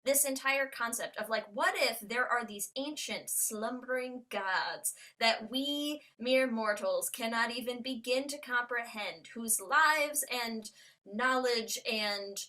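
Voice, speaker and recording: A woman hosting a podcast in casual chat, animated and joking, peppering her talk with "like". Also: a little high, takes it slowly. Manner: animated, joking, frequent use of "like". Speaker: podcast host.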